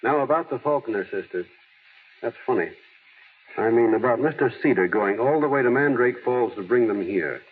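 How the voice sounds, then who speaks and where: A man's voice: mid-range voice, expressive, moderate pace, slightly rough. An actor playing an earnest, plainspoken small-town American man in old radio drama style, folksy and a little hesitant. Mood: wry, easygoing. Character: earnest small-town everyman, plainspoken, gently wry, heir to a fortune. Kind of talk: 1930s-40s American radio drama acting. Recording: tinny, hissy old radio broadcast.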